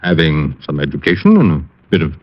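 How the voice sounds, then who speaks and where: Middle-aged genteel ex-swindler, nervous and formal, very low voice, 1940s radio drama on a dull recording. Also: male voice, huge pitch swings, a little fast, no room sound, exaggerated voice. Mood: confiding. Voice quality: clicking new false teeth. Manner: hesitant, formal, genteel and indignant.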